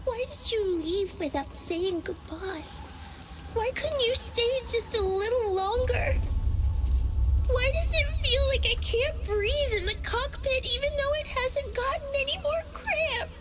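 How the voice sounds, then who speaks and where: A woman voicing an earnest, emotional young girl pilot in a video game, with a girlish voice and drawn-out, emotive delivery. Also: lively intonation, noisy background. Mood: sad, hurt. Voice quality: high, girlish. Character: cheerful, emotional young girl pilot missing her senior.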